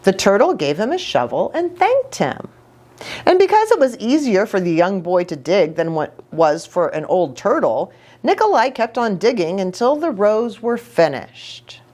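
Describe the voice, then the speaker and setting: A woman reads a picture book aloud for young children in a highly expressive way. Her intonation swings very widely, and she takes her time over each phrase, speaking as if directly to small listeners. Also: unhurried. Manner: animated, with short asides to young listeners. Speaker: children's storybook reader, pastor.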